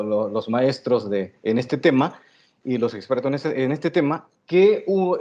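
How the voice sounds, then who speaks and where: A man's voice: medium pitch, a little mellow, highs cut off. A man who works as a journalist, answering a panel question thoughtfully with many hesitations.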